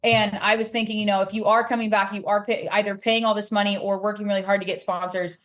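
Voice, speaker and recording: A woman's voice: mid-range voice, flat delivery, quick pace, fairly bright tone, a little hiss or hum. A woman speaking casually and emphatically as an opinionated player being interviewed on a sports podcast.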